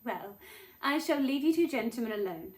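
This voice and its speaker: A woman playing a gracious, attentive young bride-to-be in a radio play, gentle and genteel in manner. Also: a little high, animated, moderate pace, natural voice, poor audio.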